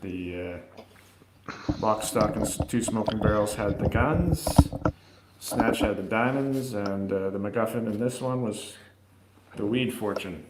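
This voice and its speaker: A man's voice: on the low side, measured pace. A man giving his opinions in a casual film review chat, recorded in a roomy space with some noise. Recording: roomy echo, faint background noise.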